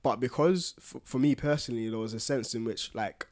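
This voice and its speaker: A man's voice: mid-range voice, a little fast, a little mellow. A British man with a London accent co-hosting a casual podcast, talking in a slangy, colloquial way. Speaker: podcast co-host.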